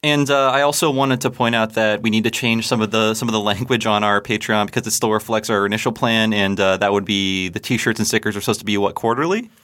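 A man's voice: on the low side, fairly even intonation, quick pace, few pauses, a bit bright. A man co-hosting a casual podcast, chatty and rambling, with jokes and plenty of fillers. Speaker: podcast co-host.

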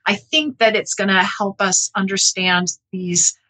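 A woman speaking as a professor in an interview, explaining her research in an earnest, measured, halting way with self-corrections. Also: mid-range voice, pushed and bright.

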